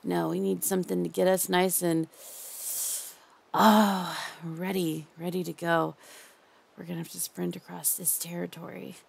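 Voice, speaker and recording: Woman voicing a possessive captor, soft crooning whispers, gravelly fry, slow drawl, audio roleplay. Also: fairly low pitch, level tone, heavily acted. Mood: tender. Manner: soft crooning, slipping into whispers and half-sung lines. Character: possessive obsessive female captor, sweetly unhinged.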